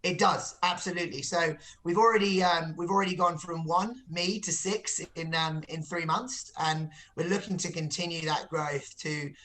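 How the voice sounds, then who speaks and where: A man's voice: a little high, moderate pace, few pauses, sharp tone. A man giving a business interview as a company executive, explaining things with frequent repeated words.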